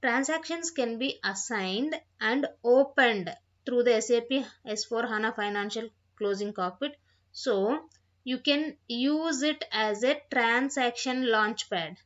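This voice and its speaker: A woman giving an instructional software tutorial, reading out points and repeating phrases as she explains them, on a dull, lo-fi microphone. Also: on the high side, measured pace. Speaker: software trainer.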